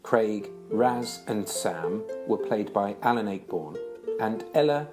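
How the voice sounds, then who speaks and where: A man with a slightly deep voice reads credits as a scripted announcement. Loud plucked guitar music plays behind him. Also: animated, takes it slowly.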